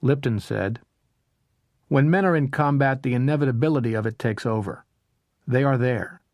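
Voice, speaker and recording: A man reading a history audiobook as narrator, in a measured, even storytelling manner. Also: medium pitch, no room sound, studio quality. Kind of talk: scripted narration of a history book.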